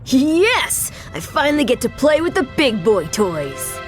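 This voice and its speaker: Game kid hero: sarcastic ten-year-old boy, high pitched, music behind. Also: very animated, measured pace. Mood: wisecracking. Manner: brash, sarcastic. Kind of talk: video game cartoon acting.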